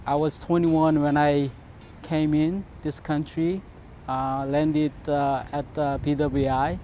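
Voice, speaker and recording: An older man from Korea, recalling his past in an interview. He pauses often and uses many fillers. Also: a little high, a little hiss or hum. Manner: hesitant, many fillers, gentle laughs. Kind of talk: interview reminiscence.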